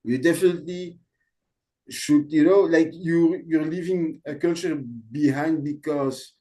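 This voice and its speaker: A man from Belgium, a guest in a casual podcast talk, speaking earnestly with many pauses and restarts in a language that is not his first. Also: on the high side, steady tone, warm tone, low-quality recording. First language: not English. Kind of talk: casual conversation.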